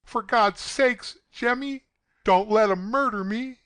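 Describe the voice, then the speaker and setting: A woman's voice: on the high side, slow, dry recording, clean studio sound, pitched above the performer's own voice. A woman voicing a weak male convict who whimpers and pleads. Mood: terrified.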